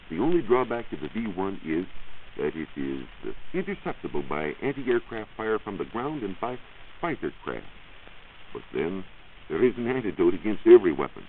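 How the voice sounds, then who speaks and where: An American man giving a measured, explanatory science talk on 1940s radio as a reporter. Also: low-pitched, narrow, tinny audio. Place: radio studio. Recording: hissy band-limited 1940s radio recording.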